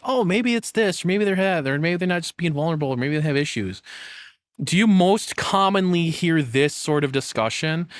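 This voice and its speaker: A man's voice: mid-range voice, a bit bright, no echo, clean studio sound. A man giving casual, rambling reaction commentary, often repeating himself as he thinks aloud and dropping the odd swear. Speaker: streamer reacting to a video.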